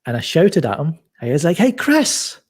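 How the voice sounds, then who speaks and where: Guest: soft-spoken man, mellow husky tone, halting casual storytelling. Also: a little high, huge pitch swings. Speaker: podcast guest. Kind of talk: casual chat.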